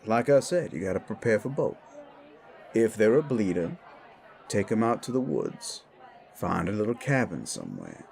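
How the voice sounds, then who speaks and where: A low, creaky-voiced werewolf mentor, acted by a man in an audio drama. He explains things slowly and wryly, laid-back and streetwise, with faint crowd murmur behind him. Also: big pitch swings, a little mellow. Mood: instructive. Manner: measured, wry, explanatory.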